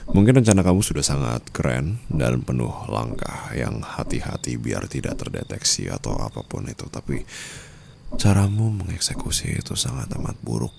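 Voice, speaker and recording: A man's voice: darkish tone, a little hiss or hum, exaggerated voice. A man playing a dominant, menacing rich husband in an ASMR roleplay, murmuring taunts intimately and slipping into whispers and English endearments. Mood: smug, condescending. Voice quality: very deep, gravelly. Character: dominant, menacing wealthy new husband toying with treacherous bride.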